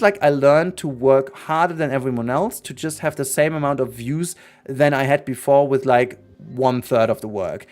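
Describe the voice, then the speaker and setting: A young man who is not a native English speaker, talking earnestly and rambling in a casual livestream chat, with many restarts. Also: on the high side. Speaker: streamer.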